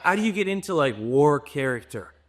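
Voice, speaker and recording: A man's voice: a little high, very animated, brisk, soft background music. A man co-hosting, asking questions in casual, informal interview chat. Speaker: co-host.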